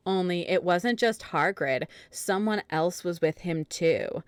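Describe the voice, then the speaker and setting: A woman with a low voice narrates a fan fiction story. She reads the absurd text straight-faced, in a dry, close sound. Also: unhurried, clean tone, no echo. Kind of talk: dramatic reading of fan fiction.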